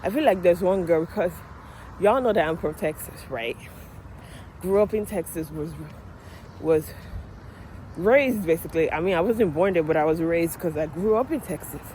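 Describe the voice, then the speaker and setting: A woman's voice: fairly low pitch, moderate pace, long pauses, low-quality recording. Outspoken Nigerian woman vlogging on the street. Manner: animated, outspoken, swears freely. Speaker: vlogger, medical assistant. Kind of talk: casual vlog chat while walking. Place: outdoors on a residential street. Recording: street with passing traffic noise. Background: cars and street ambience.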